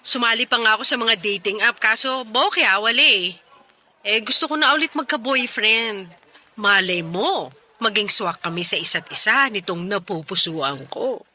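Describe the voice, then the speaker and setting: A woman playing an excited, smitten single woman in a radio drama, with a Filipino accent and a bubbly, coy, playful manner. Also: medium pitch, moderate pace. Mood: hopeful. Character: excited, smitten single woman chatting with her best friend. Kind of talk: Tagalog radio drama dialogue. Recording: music bed behind the dialogue.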